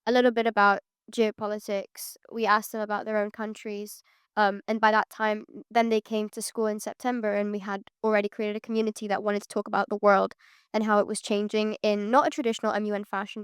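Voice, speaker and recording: A young woman in her late teens, a student panelist answering questions in a measured way with frequent ums. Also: medium pitch, little pitch movement, smooth, no room sound, studio quality.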